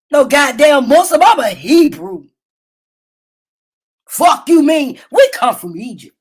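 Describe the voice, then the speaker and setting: Loud profane woman streaming. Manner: loud, profane, animated storytelling. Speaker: livestream host. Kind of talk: casual livestream commentary. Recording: low-quality phone stream.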